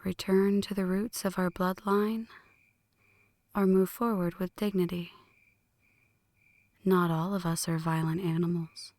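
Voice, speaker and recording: A hushed, low-pitched vampire woman, acted by a woman for an ASMR roleplay. She speaks very slowly and breathily in poetic phrases, flirty yet brooding. The sound is close and dry. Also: level tone, no room sound, close to an everyday voice. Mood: solemn, earnest. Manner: slow, teasing, poetic. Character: flirty, brooding female vampire, seductive and melancholic. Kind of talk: ASMR roleplay, scripted dramatic monologue.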